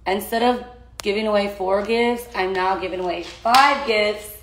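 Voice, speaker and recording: A young woman with a raspy voice chatting casually to followers on a phone livestream about a giveaway. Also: medium pitch, normal speed, roomy sound, noisy background. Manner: chatty, laughing, talking to her dogs between points. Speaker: social media influencer. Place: small bedroom indoors. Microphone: phone at arm's length.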